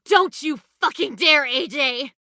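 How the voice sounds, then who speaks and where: A woman's voice: high voice, a little slow, bright, forceful tone, no echo, studio quality, heavily acted. An actress voicing a ruthless, hardened woman who leads a survivor militia in a video game drama, speaking in a controlled, menacing and persuasive way. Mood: angry.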